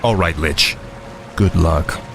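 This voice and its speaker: An actor playing a grim, commanding dark warrior king in a fantasy video game, stern and brooding. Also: low-pitched, takes it slowly, gravelly, a little hiss or hum, heavily acted. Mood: grim, urgent.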